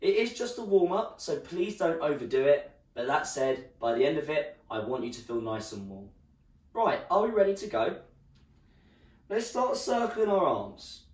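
Croaky young guy leading a home workout, cheering people on, sounds far from the mic in an echoey room. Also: fairly high pitch, darkish tone. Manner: encouraging, instructional, moving while talking. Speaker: fitness instructor. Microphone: far camera.

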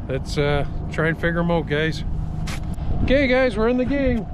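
A man's voice: fairly high pitch, sing-song, moderate pace. A middle-aged man, hosting a fishing vlog and coaching his friend with upbeat running commentary. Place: open boat on a large lake, outdoors. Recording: outdoor boat recording, loud wind and engine noise.

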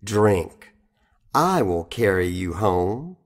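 A man reads poetry aloud at an unhurried pace. His pitch moves widely from phrase to phrase, and he shapes each line deliberately and dramatically. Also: very animated. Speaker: poetry reader. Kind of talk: expressive poetry reading.

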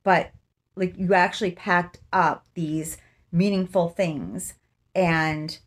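Middle-aged woman, slightly low conversational voice. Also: fairly even intonation, takes it slowly. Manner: conversational, thinking aloud. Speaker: vlogger. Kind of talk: casual true-crime commentary to camera. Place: small room indoors, seated in an armchair.

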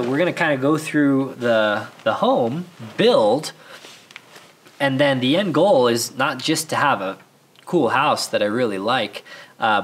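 A man's voice: mid-range voice, fairly bright tone. A man in his thirties, a bit nasal and stuffed up, chatting candidly to camera as a channel host, with many restarts, inside a half-built house. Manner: rambling, candid, frequent restarts. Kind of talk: casual vlog talk to camera. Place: half-built house under construction, partly open.

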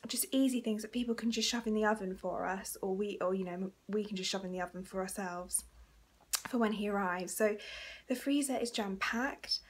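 A woman's voice: mid-range voice, moderate pace, husky. A young woman chatting casually through a grocery haul vlog, laughing lightly between items, filmed on a phone at arm's length in a living room. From England. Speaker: vlogger. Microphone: phone camera at arm's length.

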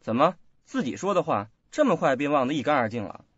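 Guy playing stern young husband accusing someone, radio play, highish male voice, dry sound. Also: sing-song, fast, slightly rough, dry recording. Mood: indignant. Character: cold, indignant young husband confronting an accuser. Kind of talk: radio drama acting. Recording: dull lo-fi audio, faint music.

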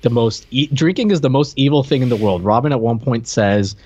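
A man's voice: mid-range voice, lively intonation, fairly quick, warm tone, breathy edge, no room sound. A man, co-hosting a casual film discussion from home. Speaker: podcast co-host. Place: small room at home. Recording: dry, slightly dull home-stream audio.

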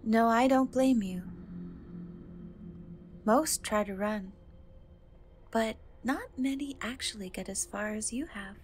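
A woman playing a gentle, caring young cat girl rescuer in a soft-spoken fantasy ASMR roleplay, reassuring and a little hesitant. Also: fairly high pitch, takes it slowly, pauses often, faint music behind. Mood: curious, impressed.

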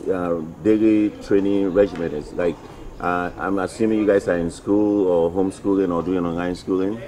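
A middle-aged man hosting a casual interview at an outdoor tennis court, talking haltingly with many fillers into a table mic. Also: low voice, darkish tone. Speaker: interview host, tennis federation president.

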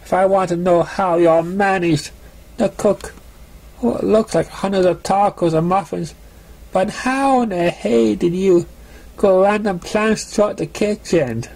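A man's voice: high-pitched, a little slow, gravelly, a little mellow, pitched above the performer's own voice, full character voice, rough recording. A man voicing a bewildered, demanding female pony in a storybook reading, speaking with incredulity. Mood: incredulous.

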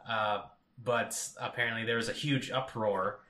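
A man's voice: lowish voice, fairly even intonation, moderate pace, bright, forceful tone, reverberant. A man co-hosting a casual tech podcast, rambling with many fillers. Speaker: podcast co-host.

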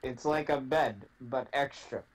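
A man co-hosting a live stream with a deep voice, dropping dry, jokey asides. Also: a little slow, low-quality recording. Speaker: stream co-host. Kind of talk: casual livestream chat.

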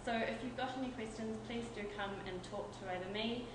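A woman's voice: fairly high pitch, steady tone, brisk. A woman giving an informal company presentation at a tech meetup, hesitant, with frequent fillers and restarts. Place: event venue with an audience. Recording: echoey room from far off, noisy.

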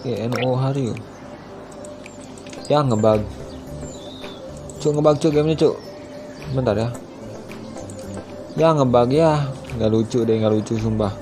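A man's voice: mid-range voice, a little slow, pauses often. A young man with an Indonesian accent giving casual, slangy gameplay commentary into a headset mic. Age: young adult. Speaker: gaming YouTuber. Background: game music.

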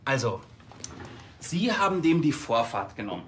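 An actor playing an earnest, naive junior policeman in a TV drama, halting but eager. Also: medium pitch, very animated, slight background noise, close to an everyday voice. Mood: earnest, inquisitive.

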